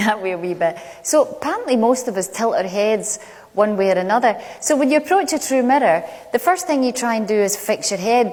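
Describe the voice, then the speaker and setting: A middle-aged woman with a Glaswegian Scottish accent gives a prepared stage talk, telling stories in a measured, conversational way with deliberate pauses. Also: mid-range voice, a little mellow. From Glasgow, Scotland. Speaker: public speaker. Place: large indoor stage venue. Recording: echoey hall, long reverb.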